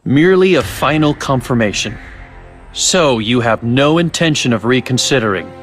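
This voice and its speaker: A man's voice: mid-range voice, animated, normal speed, faint music behind, close to an everyday voice. A man voicing a polite, wordy middle-aged research scientist in a video game cutscene, genial but evasive. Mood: calm, probing.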